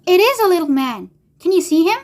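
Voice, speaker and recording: A woman voices a young storybook boy, high in pitch. He sounds eager, questioning and full of wonder. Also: moderate pace, dark tone, natural voice. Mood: excited.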